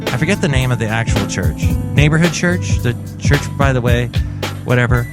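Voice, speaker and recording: A man's voice: medium pitch, animated, normal speed, over loud music. A man from California hosting his own podcast in a casual, rambling monologue full of jokey asides. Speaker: podcast host.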